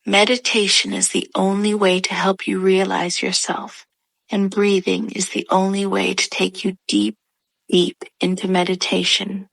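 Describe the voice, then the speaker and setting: Woman doing guided meditation, really slow and soothing, dry sound with no room. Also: mid-range voice, flat delivery, gravelly, fairly bright tone, no echo, poor audio.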